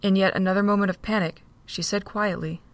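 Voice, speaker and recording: A woman narrating a fan audio drama in a steady reading voice. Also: mid-range voice, fairly quick, no room sound. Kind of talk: storybook narration.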